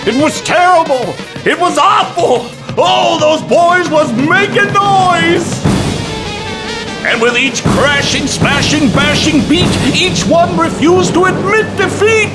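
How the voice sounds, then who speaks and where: A woman's voice: extremely high pitch, animated, slow pace, loud music behind the voice, full character voice. A woman playing an exasperated muse in comic radio drama, declaiming and wailing melodramatically. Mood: appalled. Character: exasperated, shrill female muse judging a contest.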